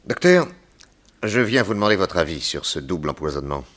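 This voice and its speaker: A shrewd private detective in a 1960s radio serial. The voice is very low yet lively and swooping, with dry irony and firm authority. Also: male voice, fairly quick, full character voice. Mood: probing. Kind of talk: 1960s French radio detective drama acting.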